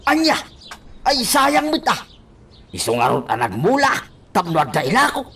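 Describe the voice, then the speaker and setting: A man's voice: sits high, wildly swooping pitch, normal speed, fairly bright tone, slightly rough, exaggerated voice. A man with an Ilocano accent acting an eager, insistent farmer in a radio drama. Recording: faint noise, outdoor ambience.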